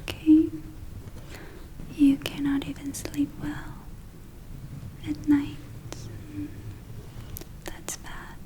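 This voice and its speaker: Slow whispering woman, ASMR witch roleplay. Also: high voice, completely flat delivery, low-quality recording. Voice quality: breathy whisper. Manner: gentle, unhurried, pausing. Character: soothing witch healer welcoming a spa client.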